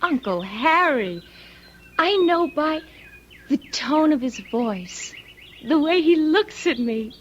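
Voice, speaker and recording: A woman's voice: high-pitched, animated, takes it slowly, dull audio. An actress playing a headstrong, lovestruck young horsewoman in an old-time radio drama, earnest and emphatic. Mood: hurt, insistent. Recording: noisy vintage radio drama recording.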